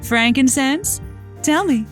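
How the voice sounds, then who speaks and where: A woman's voice: high voice, animated, slow pace, faint music behind. A woman playing a playful, flirty late-night radio host, theatrical and teasing, in a comedic radio drama. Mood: playful, upbeat.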